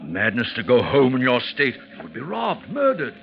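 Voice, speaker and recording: An actor playing an oily, effusive French gambling-house schemer in 1940s radio style, florid, flattering and falsely solicitous. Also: male voice, mid-range voice, moderate pace, creaky. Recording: muffled, noisy 1940s radio recording.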